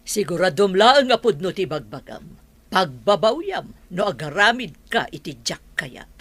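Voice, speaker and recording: A woman's voice: on the low side, sing-song, moderate pace, a bit bright, husky, no echo, heavily acted. An actress with an Ilocano accent playing a stern, suspicious, controlling middle-aged mother in a radio drama. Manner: stern, commanding.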